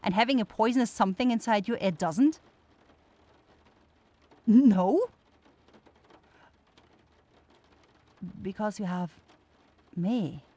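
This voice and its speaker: A woman's voice: mid-range voice, expressive, a little slow, long pauses, mellow. A woman voicing a caring, easily flustered young forest witch in an ASMR fantasy roleplay, speaking in hesitant, blurting phrases. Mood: concerned, teasing.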